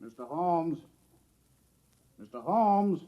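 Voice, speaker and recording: An actor playing a dutiful, polite police chief in a 1950s radio drama, courteous and procedural in manner. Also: male voice, on the high side, expressive, slow pace, lo-fi sound, background noise. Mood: businesslike.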